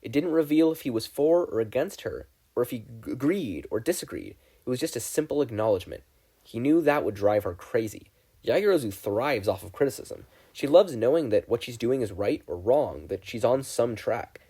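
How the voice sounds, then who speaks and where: A man reading a story aloud as a narrator, in an expressive scripted style. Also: medium pitch, moderate pace, slightly rough. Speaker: fan fiction podfic reader.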